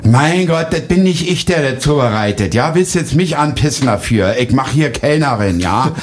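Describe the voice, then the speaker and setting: A man voicing a gruff, curt Berlin waitress who snaps back indignantly. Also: medium pitch, lively intonation, normal speed, a little hiss or hum, voice pushed up, natural voice. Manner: snappy, indignant.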